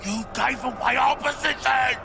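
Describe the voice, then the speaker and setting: An actor playing a brutish, blustering orc in a video game. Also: male voice, extremely high pitch, moderate pace, loud music behind the voice. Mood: bellowing. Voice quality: gravelly, growling. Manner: crude, blustering. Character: brutish male orc warboss and his orc lads. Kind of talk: video game cutscene acting.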